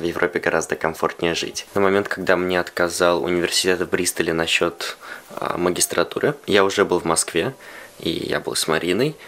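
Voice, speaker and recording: Молодой мужчина с низким голосом, гулкая комната, музыка. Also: male voice, sits low, background noise. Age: young adult. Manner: relaxed, chatty, switches between Russian and English. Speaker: vlogger answering viewer questions. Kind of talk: casual Q&A vlog talk. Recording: roomy echo with music behind.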